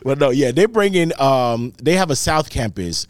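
A man's voice: medium pitch, animated, normal speed, no echo, clean studio sound. Laid-back joking man in his thirties. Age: 30s. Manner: laid-back, joking, says bro a lot. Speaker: acting coach and playwright. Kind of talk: casual podcast chat.

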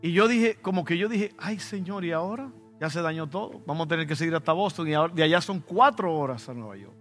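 A man's voice: fairly high pitch, animated, fast, fairly bright tone, soft background music. A male pastor with a Dominican Spanish accent, preaching a conversational sermon with personal stories and sudden emphatic bursts. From Dominican Republic.

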